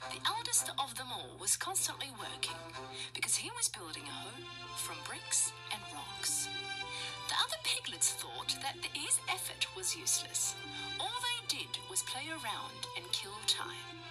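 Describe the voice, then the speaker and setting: Female children's storyteller: high voice, dramatic deliberate phrasing, prominent music underneath. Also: huge pitch swings, poor audio. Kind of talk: expressive children's story narration.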